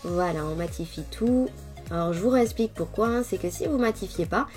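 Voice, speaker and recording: French woman, stuffy head cold, chatty nail tutorial, mellow tone, faint music behind. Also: fairly low pitch, fairly quick. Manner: chatty, lively, addressing viewers directly. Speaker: nail technician. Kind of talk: casual tutorial vlog.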